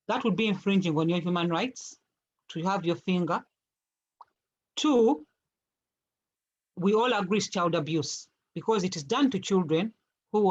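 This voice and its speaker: A woman's voice: medium pitch, highs cut off. A woman with a Ugandan accent speaking as a guest in a panel discussion, explaining point by point with emphasis. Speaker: guest speaker, charity founder and campaigner.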